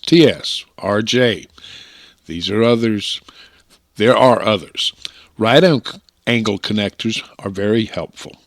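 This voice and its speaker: A man's voice: fairly low pitch, a bit bright, no echo. Plainspoken American man, dry close-miked narration. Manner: plainspoken, folksy, deliberate phrasing. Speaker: amateur radio hobbyist presenter. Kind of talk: instructional slideshow narration. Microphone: close broadcast-style microphone.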